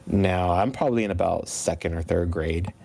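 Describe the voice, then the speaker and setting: A man's voice: low voice, moderate pace, vocal fry, a little mellow. A man in his thirties chatting casually as an interview guest, telling stories and acting out bits of dialogue. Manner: acts out remembered dialogue. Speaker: interview guest, video game composer. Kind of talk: casual chat, animated storytelling.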